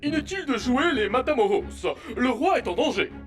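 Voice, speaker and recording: A man voicing a hesitant, soft-hearted royal guard in a melodramatic, faltering way for a French animated film dub. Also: very high-pitched, moderate pace, soft background music. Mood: wry, matter-of-fact.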